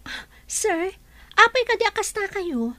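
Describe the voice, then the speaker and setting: A woman's voice: very high-pitched, sing-song, measured pace, pushed and bright, no echo, heavily acted. A woman playing a young employee who answers her boss politely but defensively. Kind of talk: radio drama acting.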